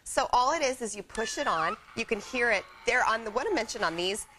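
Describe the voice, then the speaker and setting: A woman's voice: a little high, lively intonation, brisk, bright, forceful tone, rough recording. Upbeat woman demonstrating products, faint noise behind. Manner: upbeat, nostalgic storytelling. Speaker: product presenter on shopping TV. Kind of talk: chatty live product demonstration. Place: TV shopping studio. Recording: faint background studio noise.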